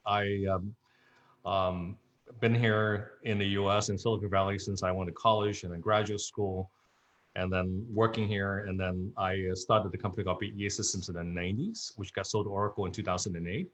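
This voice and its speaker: A man from Hong Kong, an investor on a video-call panel, talking in a relaxed way with restarts. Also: deep voice, fairly quick. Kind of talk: casual panel talk.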